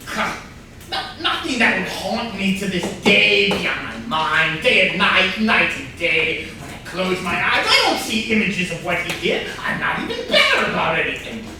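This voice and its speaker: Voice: medium pitch, big pitch swings, moderate pace. An actor playing a bitter, flamboyant jilted man in a comedy stage reading, theatrical and stammering with gleeful spite. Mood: defensive. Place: room at a live staged reading. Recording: distant, echoey room with faint noise.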